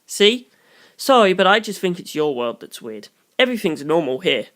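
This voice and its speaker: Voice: mid-range voice, big pitch swings, normal speed, fairly bright tone. A reader voicing a blunt, squeamish young man in an audiobook, casual and exclamatory in manner. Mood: uneasy, exasperated.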